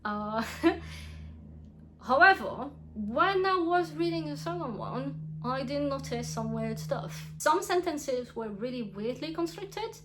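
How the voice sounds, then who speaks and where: Chatty young French-accented woman, fairly high. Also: animated, slow pace, background noise. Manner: chatty, frank, laughs often, restarts sentences. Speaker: book reviewer. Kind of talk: casual book review vlog.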